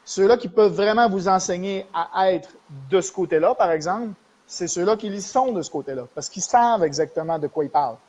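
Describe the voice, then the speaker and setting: A man's voice: high voice, a little fast, darkish tone, a little hiss or hum. A man with a Québécois French accent hosting a live stream, explaining money matters to viewers and addressing them directly. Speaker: host of a live stream show.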